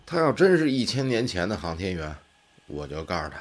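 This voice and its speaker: A male actor plays an easygoing, curious big brother in a sci-fi radio drama. His voice is fairly low and husky, with offhand, lively delivery. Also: fairly quick. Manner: colloquial, offhand.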